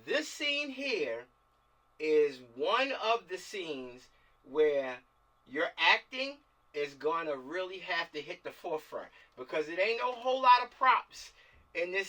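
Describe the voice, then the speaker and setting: A man's voice: on the high side, bright, forceful tone. A man casually giving direction to actors on a group video call, often repeating and restarting his phrases. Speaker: film director leading a table read. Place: online video call, distant microphone. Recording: distant, uneven video call audio.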